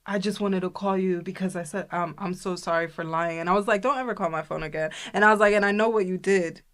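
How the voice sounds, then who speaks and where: A woman's voice: on the low side, fairly even intonation, fast talker, a bit bright. A young woman co-hosting a podcast, telling a story in casual chat and acting out the people she quotes. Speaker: podcast co-host.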